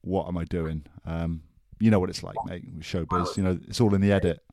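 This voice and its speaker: A man's voice: low-pitched, steady tone, a little fast, gravelly, dark tone, dry recording. A man with a Welsh accent, co-hosting a podcast in casual, joking banter. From Wales. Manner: joking banter, laughs often. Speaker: podcast co-host. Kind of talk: casual chat.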